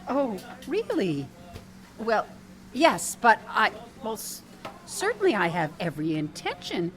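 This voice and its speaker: An actress playing a sardonic, down-on-her-luck waitress in a comedic radio drama, quick-witted, dry and exasperated. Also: mid-range voice, sing-song, slight background noise. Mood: indignant, defiant.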